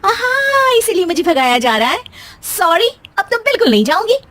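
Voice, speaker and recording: Here is a feisty young daughter-in-law from a family storybook comedy, acted by a woman. Her voice is high and swings widely in pitch. She is sarcastic and huffy, exclaiming dramatically as she bickers. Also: big pitch swings, moderate pace, pushed and bright, full character voice. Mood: cheeky.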